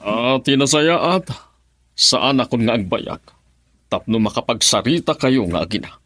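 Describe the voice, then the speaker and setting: Man playing a concerned older relative, middle-pitched voice, measured questioning, radio drama, close dry recording. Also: animated, fairly bright tone, no room sound, lightly acted. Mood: accepting. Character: concerned, probing older male relative questioning a young woman. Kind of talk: radio drama acting in Ilocano.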